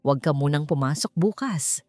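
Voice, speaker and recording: A woman's voice: low-pitched, lively intonation, a little fast, darkish tone, faint music behind. A Filipino-accented woman voicing a cold, commanding, guarded male boss in a romance audio story. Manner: clipped, emotionless, commanding.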